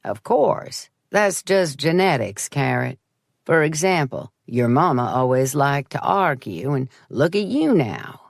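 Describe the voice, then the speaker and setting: A woman voicing a gentle, stubborn older uncle with a slow, folksy, mild delivery in an audiobook. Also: low voice, sing-song, vocal fry, no echo, clean studio sound, full character voice. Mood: mild, doubtful. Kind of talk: audiobook character voice.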